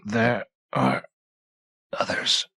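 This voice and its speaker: A man playing a faint, raspy, starved prisoner. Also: very slow, pushed and bright, no room sound, clean studio sound. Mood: faint, dazed. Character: frail, starved male prisoner of war, barely alive.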